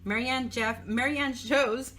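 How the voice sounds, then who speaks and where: A woman's voice: on the high side, fairly even intonation, slow pace, fairly bright tone. A woman hosting a casual live online interview show, talking in an upbeat, encouraging way. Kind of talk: casual live interview, reading out a guest bio.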